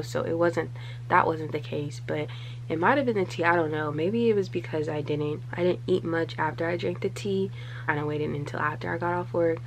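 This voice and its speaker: Low, gravelly young woman vlogging from her bedroom. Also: slight background noise. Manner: chatty, candid, lots of fillers and trailing thoughts. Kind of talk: casual vlog talk to camera. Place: small bedroom, indoors.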